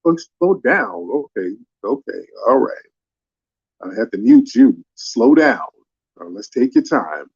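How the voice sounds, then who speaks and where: A man runs a live call-in panel, steering callers in a brisk, commanding way. His voice sits at an ordinary middle pitch. The sound is dull and lo-fi, like a streamed call. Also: big pitch swings, gravelly, a little mellow, slightly rough. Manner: brisk, calls people up and scolds them to slow down. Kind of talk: casual moderating.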